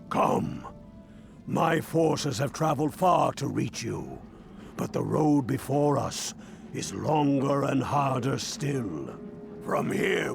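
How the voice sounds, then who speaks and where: A man playing a noble, solemn liege commanding an army in a fantasy game, stately and measured. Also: medium pitch, creaky, exaggerated voice.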